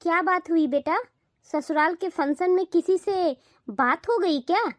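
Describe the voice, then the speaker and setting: A woman's voice: very high-pitched, unhurried. A woman voicing a scornful, bossy middle-aged mother-in-law with a mocking, dismissive way of talking in a cartoon family drama. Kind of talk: animated family drama dialogue.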